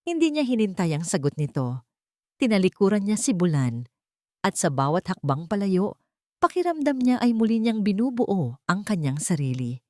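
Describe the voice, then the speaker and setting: A woman's voice: medium pitch, very animated, normal speed, darkish tone, dry recording, studio quality. A woman with a Filipino accent reading a dramatic scripted story as narrator.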